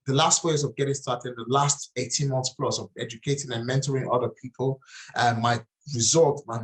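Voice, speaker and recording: A man's voice: medium pitch, poor audio. A middle-aged man from Nigeria with a Nigerian accent, presenting a property investing webinar in an earnest way and often repeating and restarting phrases. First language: Nigerian language.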